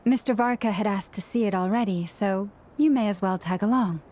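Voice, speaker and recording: A woman playing a composed young priestess in a video game, speaking in a calm, formal, measured way. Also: mid-range voice, lightly acted. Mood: courteous.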